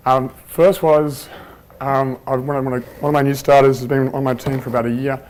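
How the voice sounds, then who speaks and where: A man giving an informal conference presentation on a handheld mic, chatty and relaxed, with plenty of ums and restarts. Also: mid-range voice, fairly quick, low-quality recording. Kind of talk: informal conference talk with anecdotes. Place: conference room at a workshop. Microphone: handheld microphone.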